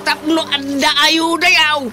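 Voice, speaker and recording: A man's voice: extremely high pitch, flat delivery, unhurried. A man playing a bossy, hot-tempered work-crew foreman in a radio comedy drama, scolding and exclaiming in an Ilocano accent. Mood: scolding, exasperated. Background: loud music.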